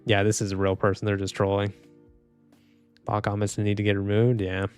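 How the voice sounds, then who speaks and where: A man live streaming and reading chat, speaking softly and calmly with frequent restarts. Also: on the low side, normal speed, smooth, dry recording. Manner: soft-spoken, calm, trailing off with restarts and small laughs. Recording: dry close mic, faint music underneath.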